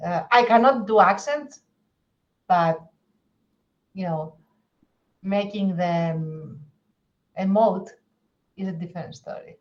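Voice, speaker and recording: A woman's voice: medium pitch, takes it slowly, pauses often, dull audio. A woman co-hosting a podcast, chatting casually in a hesitant, self-deprecating way. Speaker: podcast co-host. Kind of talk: casual chat.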